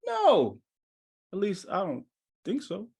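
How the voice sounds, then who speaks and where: Man reading as animated young smooth-talker. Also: high voice, slow, exaggerated voice. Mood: persuasive. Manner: cajoling, slangy. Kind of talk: live screenplay table read.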